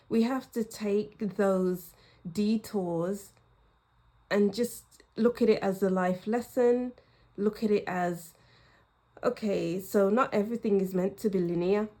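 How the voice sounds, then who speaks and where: A woman giving a pick-a-card tarot reading, speaking in a reflective way and repeating phrases for emphasis, with a slightly croaky throat. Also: medium pitch, dark tone. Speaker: tarot reader.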